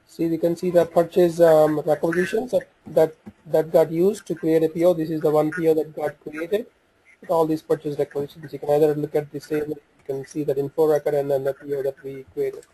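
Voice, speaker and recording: A man presenting an informal software walkthrough, talking haltingly as he thinks aloud while working through the screens. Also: on the high side, little pitch movement, breathy edge, low-quality recording.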